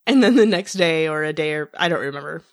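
A woman's voice: sits low, expressive, fast, dry recording, studio quality. A woman co-hosting a fan podcast, chatting casually with a teasing manner. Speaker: podcast co-host.

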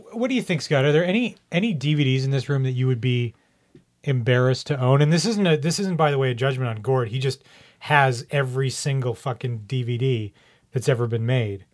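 A man's voice: medium pitch, fairly quick. Casual man hosting a podcast chat. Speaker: podcast host. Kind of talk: casual chat, asking questions.

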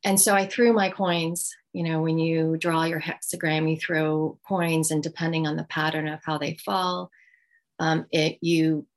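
A middle-aged woman author giving thoughtful interview answers, pausing often with ums. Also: low-pitched, flat delivery. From United States. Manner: thoughtful, halting, many ums. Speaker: author guest.

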